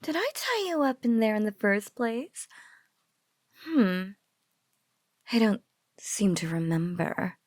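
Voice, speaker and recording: A close, dry, breathy female spider monster acted by a woman in an intimate audio roleplay. She coos slowly and possessively over her captive, teasing and doting, her drawl often sinking into whispers. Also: mid-range voice, animated, a little mellow, no room sound. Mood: teasing, amused. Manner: teasing, drawling coo with giggles.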